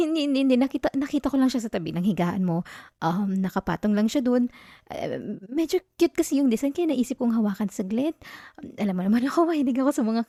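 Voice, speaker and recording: Woman acting a mellow possessive Filipino yandere girlfriend. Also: on the high side, dry recording, clean studio sound. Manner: intimate, teasing, code-switching into English words. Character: possessive, teasing yandere girlfriend, obsessive and controlling. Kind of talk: ASMR roleplay, spoken to the listener.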